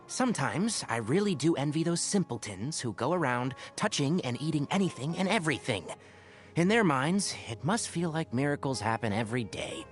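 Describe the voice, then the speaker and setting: A young fox-eared ranger from a fantasy video game, speaks in a fairly high, very animated voice. He sounds scholarly and dryly exasperated, explaining things like a patient but wry mentor. Music plays softly behind him. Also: moderate pace. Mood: wistful, dry. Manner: articulate, wry, gently lecturing. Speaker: video game character voice actor. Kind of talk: game character voice lines, conversational monologue. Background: background music.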